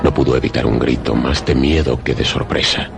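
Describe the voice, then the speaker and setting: A man narrating a scripted suspense radio play in a measured, literary storytelling manner. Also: rumbling low, steady tone, vocal fry. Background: music under the voice.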